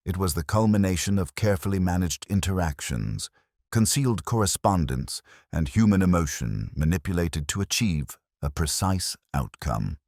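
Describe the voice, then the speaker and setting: A man narrating a scripted mystery story in a measured, formal storytelling manner. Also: a bass voice, no room sound, studio quality. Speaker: audiobook narrator.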